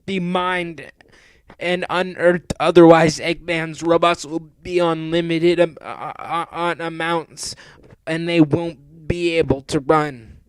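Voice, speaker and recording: A man's voice: on the high side, fairly even intonation, slow, clear, resonant tone, no room sound, pitched above the performer's own voice. A man voicing a harsh, snarling villainous mistress who barks orders and stumbles over words.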